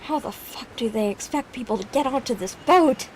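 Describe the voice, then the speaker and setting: A woman giving casual, excitable gameplay commentary, exclaiming and talking to herself as she plays. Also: a little high, moderate pace, a little hiss or hum, low-quality recording. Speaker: game streamer.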